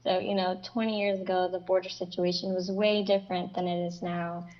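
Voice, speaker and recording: A woman's voice: on the low side, little pitch movement, fairly quick, muffled recording. A young woman from Mexico raised in Kentucky, with a light Kentucky accent, speaking reflectively as an interview guest over a video call. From Mexico, raised in Northern Kentucky. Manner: reflective, many fillers.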